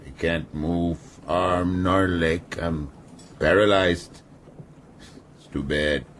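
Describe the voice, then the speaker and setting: An actor playing a brutal, sardonic ship's captain in a radio drama, weakened and half-paralyzed, speaking in a mocking, philosophical way. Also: male voice, deep voice, steady tone, takes it slowly, a bit bright, a little hiss or hum. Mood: bitter, resigned. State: ailing, weakened.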